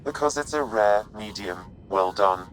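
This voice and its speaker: Calm formal deadpan ship ai from a game, low voice, faint rumble behind. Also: male voice, measured pace. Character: calm, polite, literal-minded male artificial intelligence of a starship. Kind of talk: video game dialogue.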